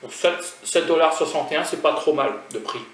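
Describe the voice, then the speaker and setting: A man's voice: fairly high pitch, fairly quick, slight background noise. A man from France with a French accent, chatting casually to his viewers while tasting food on his vlog. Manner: chatty and rambling, talking straight to viewers. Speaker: food vlogger. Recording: roomy sound from a distant mic.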